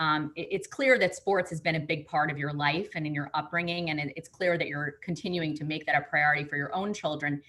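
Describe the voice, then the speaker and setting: A woman interviewer with a low pitch and a bright, forceful tone. She frames long, polished questions and is generous with praise. Soft fillers slip in between her phrases. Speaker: interviewer, host.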